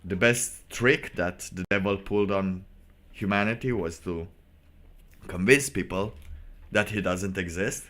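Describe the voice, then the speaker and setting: A man hosting a casual solo livestream, giving sardonic commentary in a halting way and repeating phrases for emphasis. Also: low-pitched, fairly bright tone, slight background noise. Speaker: livestream host.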